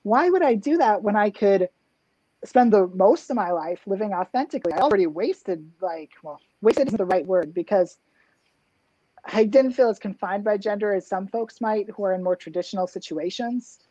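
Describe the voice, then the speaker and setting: A woman's voice: mid-range voice, expressive, normal speed, warm tone, dry recording. Dull lo-fi voice of a reflective woman. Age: 30s. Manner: reflective, frequent fillers and restarts. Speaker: drag king performer, guest. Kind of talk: casual interview chat.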